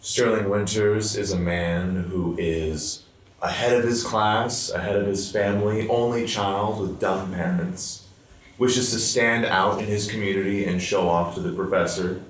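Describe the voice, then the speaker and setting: A man playing a tabletop game, describing his character in a flat, matter-of-fact way. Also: low-pitched, measured pace. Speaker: player. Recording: distant echoey mic with faint noise.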